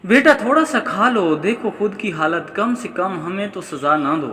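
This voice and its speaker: A man's voice: high voice, lively intonation, unhurried, bright, forceful tone, slight background noise, heavily acted. A man voicing a worried, pleading older mother in a story, coaxing and concerned. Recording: long hall-like reverb.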